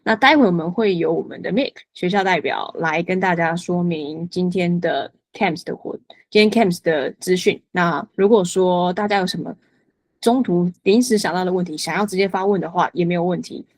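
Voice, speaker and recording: A woman's voice: lowish voice, moderate pace, dry recording. A woman hosting an online information session, introducing it in a courteous, informal way.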